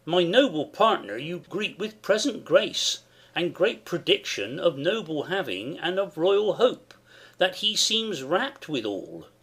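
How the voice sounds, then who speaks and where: A man's voice: sits high, big pitch swings, measured pace, a bit bright, breathy edge, exaggerated voice. A man playing a noble, questioning soldier, declaiming in a dramatic Shakespeare reading. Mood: challenging.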